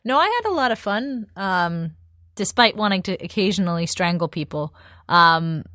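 Woman, lowish voice, enthusiastic, casual panel chat, dry sound. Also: moderate pace, fairly bright tone, dry recording. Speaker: radio play director on a podcast panel. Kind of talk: casual group discussion.

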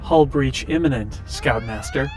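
An impassive starship computer voiced by a man announces danger in a sci-fi radio play. The delivery is slow and formal, at medium pitch, with prominent music and rumble behind it. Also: natural voice. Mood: impassive warning.

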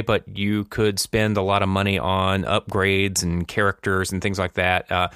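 A man's voice: fairly low pitch, fairly bright tone, smooth, no room sound, clean studio sound. A man hosting a podcast, talking through news in a chatty, explanatory way and putting questions to a co-host. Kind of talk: conversational news commentary.

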